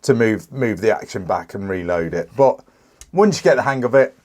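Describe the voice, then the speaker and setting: A British man in his thirties, a little croaky from a cold, casually reviewing a rifle with many fillers and restarts. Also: fairly low pitch, lively intonation, normal speed, a little mellow. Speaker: firearms reviewer.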